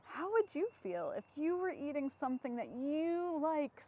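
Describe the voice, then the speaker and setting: A woman's voice: sits high, sing-song, normal speed, phone-quality sound. A woman hosting an interactive storytime for young children, asking simple questions and answering them.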